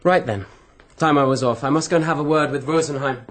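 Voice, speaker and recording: An actor playing a brusque, suspicious husband with a British accent in a radio mystery drama. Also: male voice, fairly high pitch, fast talker, slight background noise, natural voice. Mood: offhand.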